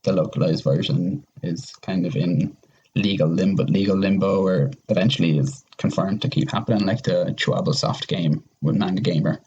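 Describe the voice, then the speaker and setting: Podcast guest guy, low husky voice, casual rambly chat. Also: normal speed, a little mellow, lo-fi sound. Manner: rambling, conversational.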